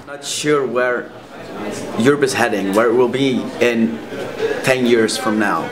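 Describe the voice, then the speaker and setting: A man, answering in an unsure, measured way. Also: medium pitch. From Tilburg, Netherlands. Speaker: interviewee. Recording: crowd chatter behind.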